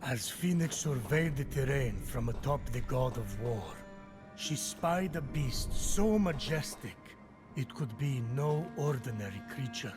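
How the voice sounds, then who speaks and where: A man's voice: mid-range voice, expressive, a little slow, over music, close to an everyday voice. A man voicing a grandiose storyteller, narrating a mythic tale for a video game in a dramatic manner. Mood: grand.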